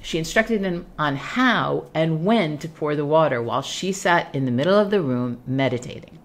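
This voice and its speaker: Woman storyteller with lively, swooping pitch. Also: normal speed. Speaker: podcast storyteller. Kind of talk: scripted fairy tale narration, read aloud.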